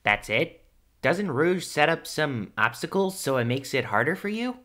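Man acting young cartoon hedgehog hero, brash, teasing, very animated pitch, casual delivery, audio drama. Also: normal speed. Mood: bantering.

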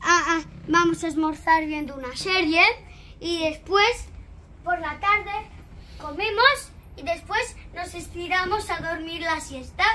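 A woman's voice: very high-pitched, measured pace, bright, forceful tone, a little hiss or hum. A woman playfully giving a pretend house tour.

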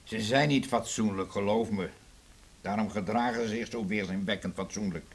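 A man's voice: lowish voice, moderate pace, slightly rough. An actor with a Dutch accent playing a sceptical, earnest man warning his friends in a radio drama.

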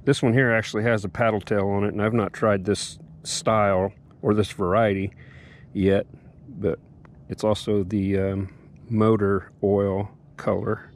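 A man's voice: fairly low pitch, measured pace, a little hiss or hum. A middle-aged man hosting a fishing vlog, talking casually from a small boat on a lake and explaining step by step how he rigs his bait. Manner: relaxed, explains step by step, excited bursts at catches. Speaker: fishing channel host. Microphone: head-mounted action camera.

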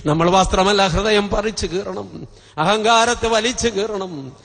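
Middle aged priest guy preaching hard, really high voice, repeats phrases for punch, big echoey hall pa. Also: unhurried, gravelly, fairly bright tone. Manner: impassioned, exhorting, emphatic with repeated phrases. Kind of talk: fervent revival sermon. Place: convention stage at a podium. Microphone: stand microphone close to mouth. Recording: reverberant hall sound system.

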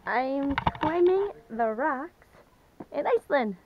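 A young woman talks casually to a camera held at arm's length as she walks a rocky trail. Her voice sits high. The outdoor recording is muffled, with a steady wash of wind noise behind her. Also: slow. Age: young adult. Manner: chatty, talking to a handheld camera while walking. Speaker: travel vlogger. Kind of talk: casual travel vlog.

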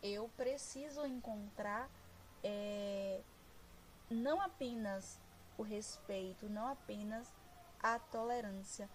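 Slow, measured Brazilian woman. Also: mid-range voice, slight background noise, low-quality recording. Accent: Brazilian Portuguese. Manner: slow, measured, pausing often. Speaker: education student presenting. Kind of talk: class presentation over slides.

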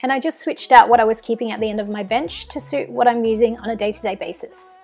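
A woman's voice: fairly high pitch, fast talker, a little mellow. A young woman from Australia narrating her home cleaning routine in a friendly, encouraging voice-over, with music playing behind her. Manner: friendly, practical, encouraging tips. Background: background music.

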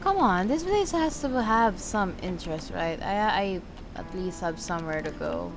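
A woman's voice: medium pitch, animated, normal speed. Casual sweary woman gamer over game music. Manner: informal, sweary, reacting aloud. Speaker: video game streamer. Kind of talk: casual let's play commentary. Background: video game music.

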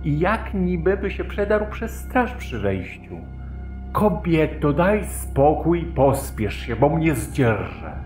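Wealthy husband, male actor: dismissive, formal, pompous, very varied pitch, roomy audio-drama sound over faint music. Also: big pitch swings, slow pace, darkish tone. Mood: impatient, exasperated. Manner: pompous, old-fashioned formal phrasing. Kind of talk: Polish audio drama acting. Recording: echoey room, music behind.

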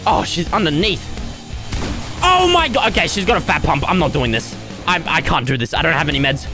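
A young man commentating his own gameplay, loud and dramatic, with squealing yelps and groans, his voice starting to go hoarse. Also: on the high side, very animated, fairly quick, over music. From Australia. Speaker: gaming YouTuber.

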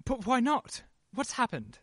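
Woman playing a irritable, shaken husband, very animated intonation, dry close recording, radio play. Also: on the high side, unhurried, no echo, full character voice. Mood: stubborn. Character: short-tempered, rattled husband, James. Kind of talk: old-time radio play acting.

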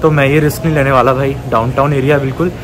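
A man's voice: mid-range voice, steady tone, fast talker. Young Indian man vlogging, music behind. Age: early 20s. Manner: informal, mixing in English words. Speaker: travel vlogger. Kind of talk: casual vlog chat. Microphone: phone at arm's length.

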